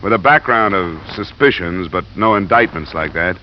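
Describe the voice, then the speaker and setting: An actor playing a steady, probing, dryly wry insurance investigator in 1950s radio drama style, with clipped hardboiled questioning and narration. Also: male voice, sits low, moderate pace, slight background noise. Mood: businesslike. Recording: muffled vintage radio recording, music underneath.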